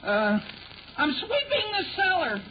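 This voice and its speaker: A man playing an excitable, evasive teenage boy, stammering and pleading in 1940s radio sitcom style. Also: very high-pitched, takes it slowly, a crowd in the background, heavily acted. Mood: nervous, evasive. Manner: stammering, pleading, exclaiming gee whiz. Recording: noisy, narrow-band 1940 radio recording.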